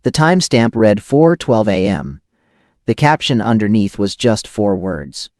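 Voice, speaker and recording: A man playing a cynical, rattled forger who narrates a noir thriller audio drama in dramatic, clipped phrases with weighty pauses. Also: fairly low pitch, sing-song, darkish tone, no echo, studio quality. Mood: shaken, tense. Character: hardboiled, rattled forger of false evidence, cynical first-person narrator.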